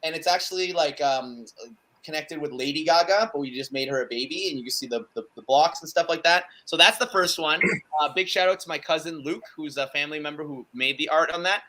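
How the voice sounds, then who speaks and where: A man whose first language is Cantonese chats on a video call from home, eager and rambling, padding his thoughts with fillers. His voice sits a little high and sounds bright and forward. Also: a little fast, low-quality recording. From Canada. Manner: enthusiastic, rambling with many fillers. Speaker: guest speaker. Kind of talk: casual chat. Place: home room.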